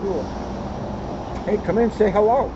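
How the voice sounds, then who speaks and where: A woman's voice: medium pitch, unhurried. A woman giving brief, casual replies as a co-host, recorded outdoors. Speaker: police officer co-hosting a story reading. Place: outdoors in a town street. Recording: dull outdoor recording with street noise.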